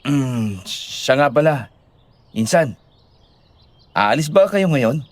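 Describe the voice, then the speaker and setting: A man's voice: medium pitch, big pitch swings, slow, dry recording. A man playing a friendly young cousin in an audio drama, casual and reassuring. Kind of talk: dramatised audio horror story acting.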